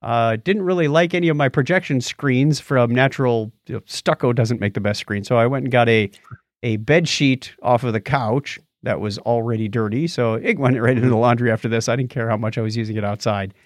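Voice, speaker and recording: A man's voice: medium pitch, fairly quick, no room sound, studio quality. An American man co-hosting a podcast, chatting casually and explaining things in an anecdotal, conversational way. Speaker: podcast host.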